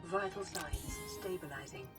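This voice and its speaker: A woman voicing a calm computer assistant in a survival game, giving status notices. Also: on the low side, a little slow, faint music behind. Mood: flat, neutral.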